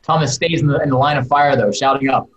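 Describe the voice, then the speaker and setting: A man's voice: mid-range voice, steady tone, fast talker, dull audio. A man informally narrating stage directions for a script read on a video call. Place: indoor room, video call. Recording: echoey room on video call.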